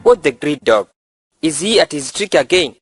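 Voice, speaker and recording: A man's voice: a little high, expressive, normal speed, natural voice. Man acting a bookish, theatrical young schoolteacher. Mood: scornful. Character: pedantic, lovesick young male village schoolteacher. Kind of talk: student stage-play dramatization. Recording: loud music under the voice.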